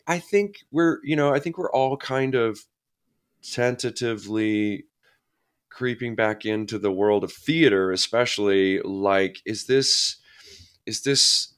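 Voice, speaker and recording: A middle-aged man, a guest actor, talking reflectively in a casual interview, often pausing and restarting his sentences. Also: medium pitch, a bit bright, smooth. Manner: reflective, halting, many restarts and fillers.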